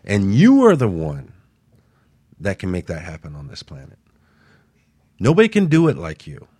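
A man with a very low, husky voice hosts a motivational radio talk show. He speaks with lively rises and falls, pressing his points home with emphatic repetition. The sound is dry and close. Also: moderate pace, no echo. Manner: preachy, emphatic, repeats phrases.

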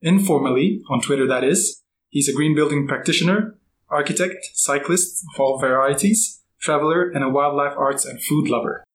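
A man hosting a podcast, reading a guest introduction steadily and then asking questions with soft backchannel replies. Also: fairly high pitch, normal speed, slight background noise, poor audio. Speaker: podcast host. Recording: faint music behind.

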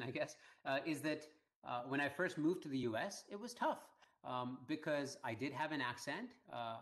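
A middle-aged man who grew up in Iran, speaking as an interview guest in a measured way with frequent fillers. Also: mid-range voice, fairly bright tone. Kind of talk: conversational interview.

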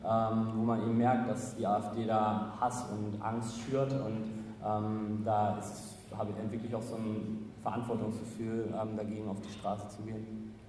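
Low flat man in an echoey hall. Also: slight background noise. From Saxony-Anhalt, Germany. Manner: halting, many fillers. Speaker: activist panelist. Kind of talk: panel discussion. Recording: echoey hall, distant mic.

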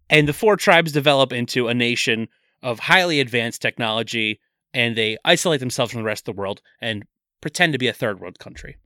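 A man's voice: mid-range voice, animated, moderate pace, bright, forceful tone, no echo, clean studio sound. A man co-hosting a casual movie podcast, retelling the plot with easy laughs. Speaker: podcast co-host.

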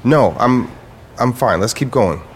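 An actor playing a newly blind man in an audio drama, halting and understated, stubborn yet wistful. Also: mid-range voice, animated, slight background noise, lightly acted. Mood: hesitant. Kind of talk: naturalistic audio fiction acting.

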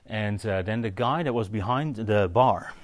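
A man giving an informal, story-led sermon as a preacher, halting and conversational, often checking in with his listeners. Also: lowish voice, no room sound, studio quality. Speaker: preacher teaching on spiritual gifts.

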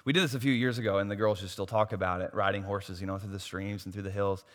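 A pastor preaching a sermon, losing his voice and swinging from soft asides to loud, emphatic points. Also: lowish voice, steady tone, quick pace. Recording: long hall reverb.

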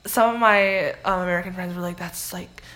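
A woman's voice: on the low side, steady tone, fairly quick, a bit bright. A young woman from Canada, a guest in a casual interview, who talks in a chatty way with many fillers. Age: young adult.

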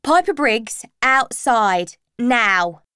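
A woman's voice: a little high, lively intonation, very slow, bright, forceful tone, clear, resonant tone, no room sound, clean studio sound, full character voice. Woman doing a clipped male history teacher. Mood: stern. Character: stern, awkward male high school history teacher.

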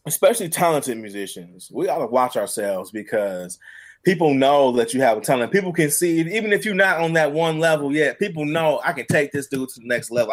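A man with an American accent casually telling stories and giving advice in an emphatic, colloquial way as a guest musician. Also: medium pitch. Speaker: musician and producer, podcast guest.

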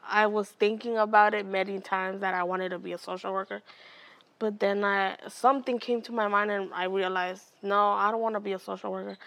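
Young woman from Oakland, soft-spoken interview guest, average pitch, recorded outdoors. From Oakland, California. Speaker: guest, educator and mentor.

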